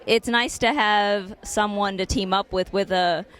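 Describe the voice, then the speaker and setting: A woman's voice: medium pitch, steady tone, a little slow, a bit bright. A woman cosplayer answering interview questions at a fan convention, easygoing and a little hesitant, with lots of fillers. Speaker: cosplayer guest.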